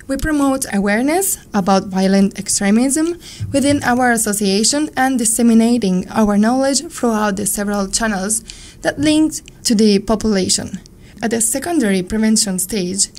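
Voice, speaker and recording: A woman with a northern Italian accent, a volunteer psychologist answering interview questions in a measured, earnest way, Italian being her first language. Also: fairly high pitch, darkish tone. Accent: Italian, from around Turin. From northern Italy. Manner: measured, earnest, careful non-native phrasing.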